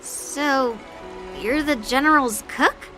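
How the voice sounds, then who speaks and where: A blunt, cheeky girl swordfighter for a video game. The voice is high and sharply bright, with sardonic delivery. Music plays behind it. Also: slow pace, full character voice. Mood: skeptical. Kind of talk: scripted video game acting.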